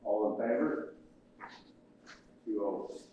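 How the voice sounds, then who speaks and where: Low-voiced man calling motions in an echoey hall. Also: slow pace, phone-quality sound. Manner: plain and businesslike, calls motions and votes. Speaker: board member running agenda items. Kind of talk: public meeting business. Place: municipal meeting room. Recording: very echoey room, distant mic, faint hiss.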